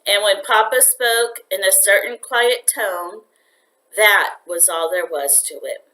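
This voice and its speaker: A woman reading a children's story aloud like a teacher, with expressive, deliberate narration. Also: medium pitch, rough recording.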